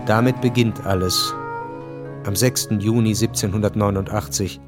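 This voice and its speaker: An actor as the sober, literary narrator of a historical radio drama, telling it in a measured way. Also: male voice, on the low side, steady tone, music in the background, close to an everyday voice.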